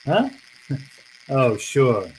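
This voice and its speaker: An actor playing a wry, tough, unflappable private detective, laconic and sardonic, in old-time radio style. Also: male voice, mid-range voice, big pitch swings, very slow, darkish tone, slightly rough, full character voice, rough recording. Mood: wry, unruffled. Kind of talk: old-time radio drama acting and first-person narration.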